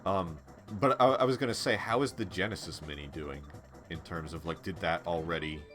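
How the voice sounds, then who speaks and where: A man streaming a game, chatting casually with a stuffy, congested nasal voice and a rambling, relaxed way of talking. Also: low-pitched, fairly quick, faint music behind. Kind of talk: casual chat while playing a game on a live stream.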